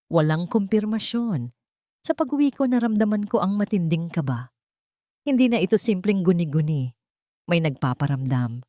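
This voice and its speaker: A woman's voice: on the low side, dark tone, no room sound, studio quality. A woman with a Filipino accent reading a dramatic first-person story as an audiobook narrator.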